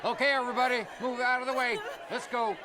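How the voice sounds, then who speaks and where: A man's voice: very high-pitched, level tone, fast talker. An actor playing a brusque military policeman in an audio drama, heard in a noisy crowd. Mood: brisk, insistent.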